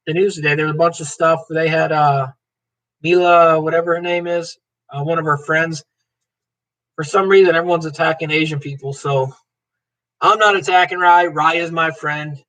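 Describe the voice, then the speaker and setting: Guy rambling on his live q&a, bright lively tone, kinda high voice, joking with chat. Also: low-quality recording. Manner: rambling, joking, chats to viewers by name. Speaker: livestream host. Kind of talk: casual livestream chat reading comments.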